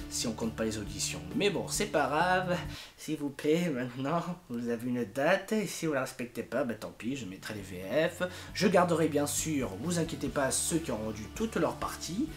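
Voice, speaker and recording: A man giving an informal, unscripted video update to his followers, rambling and halting as he goes. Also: medium pitch. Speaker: amateur fandub project leader and YouTuber.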